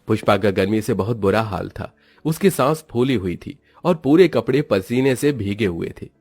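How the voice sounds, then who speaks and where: A man's voice: on the low side, animated, normal speed, a little mellow, husky. A man narrating a scripted cartoon story as its storyteller.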